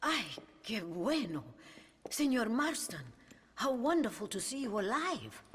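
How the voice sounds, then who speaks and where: An actress playing a devout older Mexican mother superior in a Western video game, gracious yet sharp-tongued, with a Mexican Spanish accent and a husky voice. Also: a little high, sing-song, unhurried, slight background noise. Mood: pleased, gracious. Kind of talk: video game cutscene acting. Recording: long church reverb.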